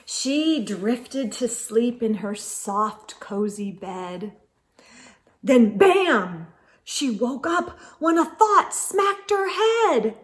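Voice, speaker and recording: A woman reads a children's picture book aloud as a storytime host. Her voice is high and her pace is unhurried. She is animated and emphatic, addressing young listeners directly.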